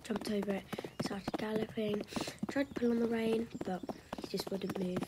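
A woman giving a casual vlog voiceover recap in a soft, breathy, half-whispered voice, hesitant and often trailing off. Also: medium pitch, steady tone, moderate pace, very noisy. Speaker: vlogger narrating her own horse riding.

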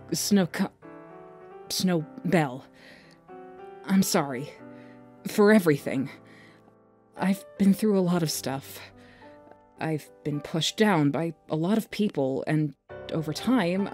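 A woman's voice: low-pitched, darkish tone. A woman playing a haughty, vain young woman who talks in a sarcastic, cutting way in an audio drama. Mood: remorseful. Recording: faint music behind.

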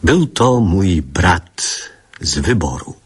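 An actor playing a wistful grown man who narrates memories of his boyhood in a measured, reflective radio drama style. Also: deep voice, big pitch swings, slightly rough, full character voice.

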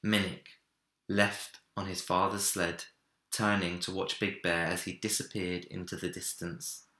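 A young man narrating a children's storybook with dramatic, deliberate phrasing in a room indoors. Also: deep voice, level tone, sharp tone. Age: young adult. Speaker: story reader. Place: small home room, indoors. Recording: roomy echo.

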